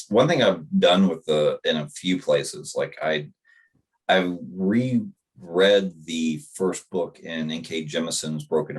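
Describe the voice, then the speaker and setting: A man with a very deep bass voice, chatting casually as a podcast guest and often restarting his thoughts. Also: slightly rough. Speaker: guest author.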